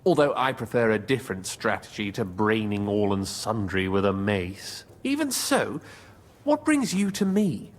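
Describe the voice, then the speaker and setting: A man's voice: lowish voice, big pitch swings, normal speed. Wry medieval nobleman with swooping delivery. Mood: shrewd, probing. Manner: wry, theatrical. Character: wry, shrewd male medieval nobleman in hiding. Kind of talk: dramatic video game dialogue.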